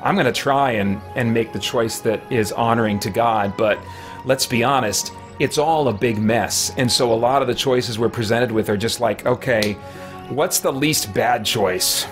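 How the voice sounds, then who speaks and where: Man, medium pitch, casual gaming streamer, halting thinking-aloud delivery, music in the background. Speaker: video game live streamer. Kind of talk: casual livestream chat while gaming. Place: small indoor room with shelves.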